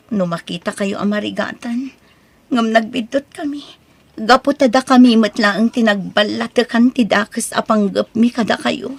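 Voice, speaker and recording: A woman performs a married village woman in an audio drama. Her voice is high and dry, with a husky edge. She speaks plaintively, as someone wronged or sorry. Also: dry recording. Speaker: drama voice actress. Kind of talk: Ilocano audio drama acting.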